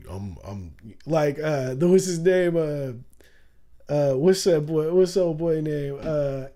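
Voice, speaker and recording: A young man chatting casually on a livestream, loose and slangy, laughing often and calling everyone "bro", with a touch of rasp. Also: on the high side, mellow. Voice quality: raspy at times. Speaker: streamer.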